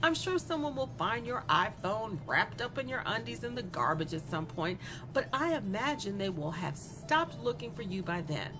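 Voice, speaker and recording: A cruel young woman killer played by an actress talks straight at her victim in a horror-comedy audio drama. Her pitch is middling but swings widely as she mocks and brags, sardonic and self-absorbed, with music running underneath. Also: sing-song, normal speed, natural voice. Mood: mocking, menacing. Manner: mocking, conversational, sardonic, giggly asides.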